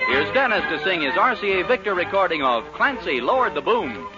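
Casting: American male announcer, 1940s radio, high animated voice, emphatic sales pitch, dull vintage recording. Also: a little fast, over loud music. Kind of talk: 1940s radio announcing, scripted commercial and narration.

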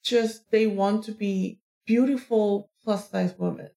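A woman from South Korea with a Korean accent, a native Korean speaker answering interview questions casually and haltingly, searching for words. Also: mid-range voice, fairly even intonation, creaky, dark tone. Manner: halting, searching for words in second-language English. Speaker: interview guest, plus-size model.

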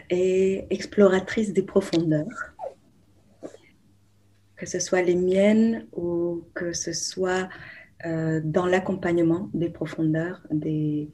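A woman in her forties, a therapist, giving slow, reflective interview answers with many pauses and hesitations. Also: fairly low pitch, mellow. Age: 40s. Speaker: therapist guest.

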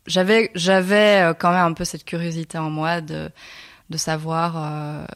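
A woman in her thirties with a low voice. She tells her story thoughtfully, stopping often to search for the right word. The sound is dry and close. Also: flat delivery, dry recording. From Belgium. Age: 30s. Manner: reflective, pauses often to find her words. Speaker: guest. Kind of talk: personal storytelling interview.

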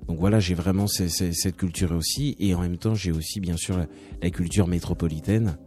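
Low mellow man chatting as music guest. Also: a little fast. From France. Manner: relaxed, chatty, many restarts and "tu vois". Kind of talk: casual interview talk.

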